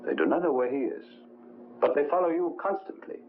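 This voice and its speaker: An actor playing a grave, formal mentor who cautions a hero in a 1950s film drama. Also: male voice, a little high, sing-song, brisk, phone-quality sound. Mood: stern warning. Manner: formal, admonishing. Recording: thin old film soundtrack, faint noise.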